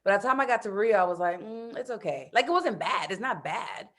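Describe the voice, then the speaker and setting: An American woman in her thirties chatting in an interview, animated, laughing often and using plenty of fillers. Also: mid-range voice, a little fast. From United States. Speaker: interview guest, expat in Brazil. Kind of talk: casual interview chat.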